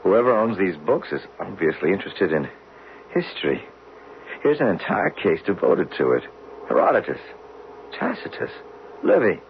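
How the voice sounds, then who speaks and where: A man acting in a 1970s radio drama as a driven, learned geologist, speaking intensely and deliberately with theatrical pauses. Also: deep voice, very animated, creaky, full character voice. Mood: curious, absorbed. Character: driven, learned geologist obsessed with solving a buried mystery. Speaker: actor in a radio play. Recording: dull, noisy 1970s radio recording.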